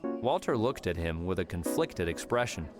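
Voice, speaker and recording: A man's voice: sits low, sing-song, moderate pace. A man reading scripted story narration as an audiobook narrator. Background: music.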